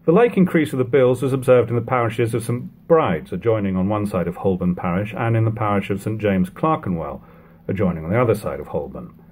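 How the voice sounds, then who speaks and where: A man in his thirties giving a measured, even reading of old prose at home on a webcam. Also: fairly low pitch, breathy edge. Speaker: reader of a classic book. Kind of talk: unhurried audiobook reading. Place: living room at home.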